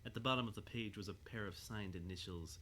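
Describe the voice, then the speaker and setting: A man's voice: on the low side, quick pace, bright, forceful tone, a little hiss or hum. A man narrating a scripted audio drama as its storyteller.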